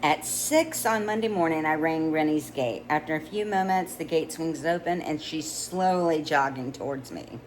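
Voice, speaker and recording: A woman's voice: sits low, normal speed, few pauses, a little hiss or hum, rough recording. A woman reading a romance novel aloud in a conversational way, with small stumbles and restarts. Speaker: audiobook reader.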